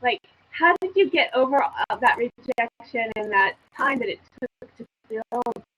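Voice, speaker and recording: High-voiced forties woman hosting. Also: lively intonation, a little slow, fairly bright tone, rough recording. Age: 40s. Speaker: host. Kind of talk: casual interview conversation. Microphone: online video call. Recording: faint noise on online call.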